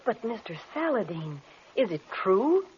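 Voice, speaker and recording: A muffled, faintly noisy vintage radio recording carries an earnest female assistant. She is polite and deferential, with a medium-pitched voice that moves expressively. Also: very animated, a little slow. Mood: doubtful, troubled. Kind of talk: 1930s-40s radio drama acting.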